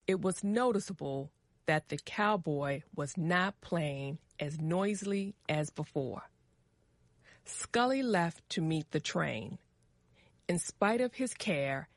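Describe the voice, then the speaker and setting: A woman narrating a scripted story for English learners, measured and carefully articulated. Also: on the low side, dry recording, clean studio sound.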